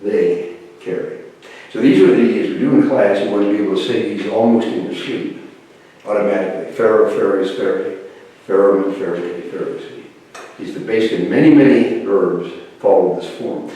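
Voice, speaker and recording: An older man giving a classroom lecture at a whiteboard, explaining slowly with pauses and restarts, picked up by the camera mic from across a university room. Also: lowish voice, mellow. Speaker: Greek lecturer. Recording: echoey room, voice from far off.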